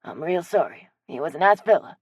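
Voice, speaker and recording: A woman voicing a friendly, generous old man with a loud, drawling way of talking in an audiobook. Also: lowish voice, lively intonation, brisk, breathy edge, no echo. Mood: folksy, hearty. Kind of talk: audiobook character voice.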